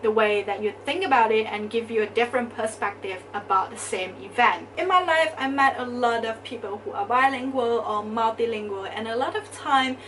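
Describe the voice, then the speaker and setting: Young Malaysian woman vlogging, high-ish voice. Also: moderate pace, hardly any pauses, a bit bright, recorded from a distance, slight background noise, poor audio. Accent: Malaysian English. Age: young adult. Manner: chatty, explaining step by step. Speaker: student vlogger. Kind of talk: casual vlog talk to camera.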